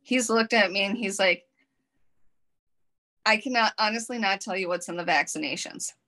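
A woman's voice: medium pitch, normal speed, bright, forceful tone. A woman with a Minnesotan accent co-hosting a casual podcast, telling her stories earnestly and with emphasis. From Minnesota, United States. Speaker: podcast co-host.

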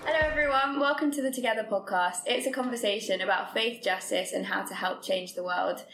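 Brief: woman host, mid-pitched, friendly and chatty, echoey room. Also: a little fast, gravelly, fairly bright tone, soft background music. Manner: friendly, chatty, jokey banter. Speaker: podcast host. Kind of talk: podcast interview. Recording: echoey room with faint noise.

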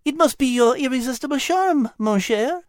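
Man voicing a high-pitched, genteel French lady cat. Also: animated, normal speed, dry recording, clean studio sound. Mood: warm, affectionate. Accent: put-on French. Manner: gracious, sprinkles French endearments. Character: genteel, affectionate female French cat, amused and adoring.

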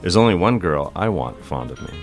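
A courteous young suitor in a period drama, played by a man. His lowish voice swings widely in pitch, earnest and a touch hesitant, over faint music. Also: very animated, brisk. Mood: earnest, admiring. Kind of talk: period audio drama acting.

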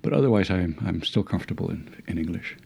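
A man's voice: low voice, expressive, quick pace, gravelly, a little mellow, breathy edge. A middle-aged man with a Dutch accent, a professor talking reflectively in an interview, hesitant with many fillers and restarts and wry chuckles. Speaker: legal philosophy professor.

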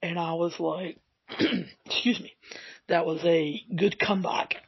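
Slow, slightly raspy man recapping. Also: fairly high pitch, steady tone, no echo, lo-fi sound. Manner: rambling, recounting with fillers. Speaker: podcast host. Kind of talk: solo podcast recap.

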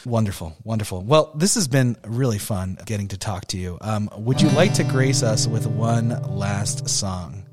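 A man's voice: on the low side, lively intonation, normal speed, few pauses, over loud music. Upbeat laughing man podcast host. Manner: upbeat, laughs often, rambling questions. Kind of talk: casual podcast hosting.

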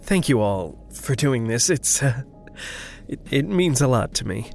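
A woman playing an earnest, anxious young character with a halting manner in an audio drama. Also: a bass voice, sing-song, vocal fry, darkish tone, soft background music, full character voice. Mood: anxious, grateful. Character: earnest, hesitant, anxious young person, grateful to friends. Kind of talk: naturalistic audio drama acting.

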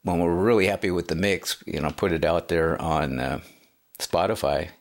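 A man hosting a casual interview, rambling and self-deprecating, with many halting fillers. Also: sits low, fairly even intonation, vocal fry. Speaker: host and songwriter.

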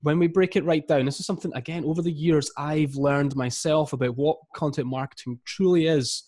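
A man's voice: fairly high pitch, fairly quick, no room sound, clean studio sound. A man in his thirties from the east coast of Scotland with a Scottish accent, talking with energy as a guest on a podcast interview. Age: 30s. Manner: energetic, upbeat. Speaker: guest, marketing coach.

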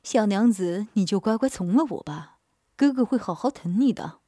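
A woman's voice: mid-range voice, animated, normal speed, warm tone, no echo, clean studio sound, voice pushed down, natural voice. A woman voicing a grown man who gloats and taunts in a mock villain role, in a storybook reading. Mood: leering. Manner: gloating, menacing teasing. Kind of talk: audiobook character voice.

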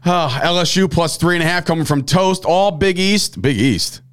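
A man's voice: on the high side, moderate pace, a bit bright, clear, resonant tone. A man hosting a casual sports betting podcast, rambling and laughing often, with some swearing. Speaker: sports betting show host.

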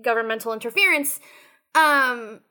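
A woman on a discussion panel, making her point in deliberate, halting phrases. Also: fairly high pitch, sharp tone. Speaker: roundtable panelist. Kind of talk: thoughtful discussion.

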